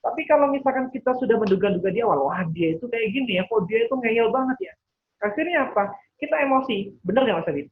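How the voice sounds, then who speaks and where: A man's voice: very high-pitched, animated, fast talker. Man teaching over a phone-like line. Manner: acts out imagined thoughts as examples. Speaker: NLP trainer leading a webinar. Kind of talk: interactive teaching, explaining with checks for understanding. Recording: thin phone-like webinar audio.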